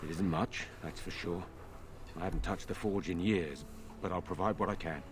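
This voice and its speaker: An actor playing a humble, apologetic village smith in a fantasy game, talking in a plain, rustic way. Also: male voice, low voice, normal speed, gravelly, heavy background noise. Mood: modest. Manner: plain, rustic, folksy speech.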